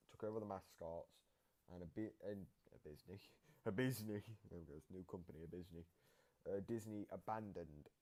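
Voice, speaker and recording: A man's voice: deep voice, mellow. A man giving casual explanatory commentary, piecing his thoughts together as he explains. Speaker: co-host explaining game lore.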